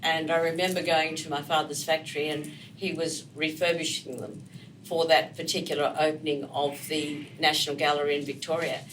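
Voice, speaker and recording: Interview guest: older Australian woman, bright forceful voice, slightly off-mic. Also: low voice, flat delivery, normal speed, far from the mic, slight background noise, poor audio. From Melbourne, Australia. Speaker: podcast guest, immigration lawyer. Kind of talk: conversational interview answers.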